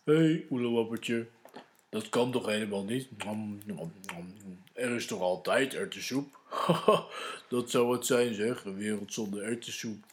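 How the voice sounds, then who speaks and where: A man playing a big, good-natured, slow-witted gluttonous dragon in a children's audio drama. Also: on the low side, close to an everyday voice. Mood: baffled, munching.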